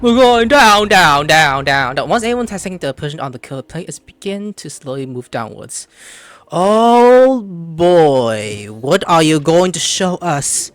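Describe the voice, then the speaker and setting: A man's voice: a little high, lively intonation, unhurried, a bit bright, dry recording. A man hosting a gaming video, reacting aloud playfully and reading dialogue with some stumbles. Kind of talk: casual gameplay commentary, reading dialogue aloud. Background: game music.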